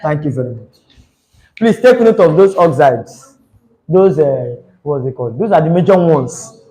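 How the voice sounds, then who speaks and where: A man's voice: a little high, expressive, moderate pace, warm tone, rough recording. A young Nigerian man with a Nigerian accent, teaching a chemistry class live, chatty and teasing, slipping into Pidgin. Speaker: chemistry tutor.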